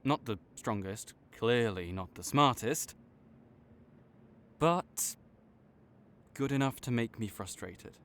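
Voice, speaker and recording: Male actor as arrogant supervillain, teasing, theatrical, grudgingly tender, very lively intonation, unhurried pace, audio roleplay. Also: mid-range voice, slight background noise. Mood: awkward, sincere. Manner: smug, teasing, theatrical with sighs. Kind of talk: dramatic roleplay monologue spoken to the listener.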